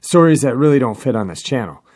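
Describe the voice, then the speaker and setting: A man's voice: mid-range voice, lively intonation, fast talker, darkish tone, slightly rough. A man speaking as the host of an interview show, introducing things and addressing listeners. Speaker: host of a paranormal podcast.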